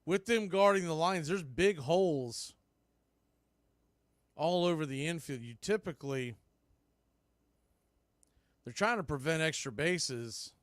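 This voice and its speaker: A man doing radio baseball play-by-play, making steady calls mixed with conversational analysis. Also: a little high, slow pace, pauses often, dry recording. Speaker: play-by-play announcer.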